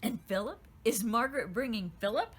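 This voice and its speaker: A woman reading a storybook aloud and voicing an excited little girl with eager, chirpy questions. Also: fairly high pitch, brisk, husky.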